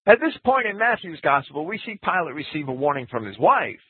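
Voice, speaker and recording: Male scripture teacher, husky, animated pitch, dry thin radio sound. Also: a little fast, no room sound. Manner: lecturing, reads quotes aloud, lively pitch. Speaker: Bible teacher. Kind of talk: scripture commentary talk. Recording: tinny phone-like radio broadcast.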